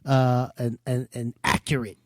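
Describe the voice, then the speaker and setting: A man co-hosting a podcast, throwing in quick jokes and comments. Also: mid-range voice, level tone, no room sound, clean studio sound. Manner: quick jokey interjections. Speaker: podcast co-host. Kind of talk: casual podcast banter.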